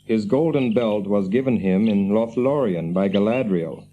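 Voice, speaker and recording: An actor playing an earnest, weary hobbit on a quest in a fantasy radio drama, speaking in formal, old-fashioned phrases. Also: male voice, lowish voice, normal speed, a little hiss or hum, close to an everyday voice. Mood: grieving, weary. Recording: dull lo-fi audio with birdsong behind.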